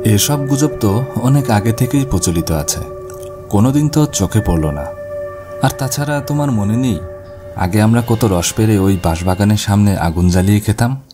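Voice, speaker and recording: A man's voice: lowish voice, animated, moderate pace, lightly acted. A man playing an eager, sceptical young nephew in cartoon ghost story dialogue. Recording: music bed behind the voice.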